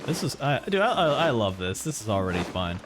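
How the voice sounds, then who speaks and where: Animated, enthusiastic male game streamer. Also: mid-range voice, a little fast, music in the background. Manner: enthusiastic, effusive. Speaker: gaming streamer. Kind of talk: casual live game reaction commentary.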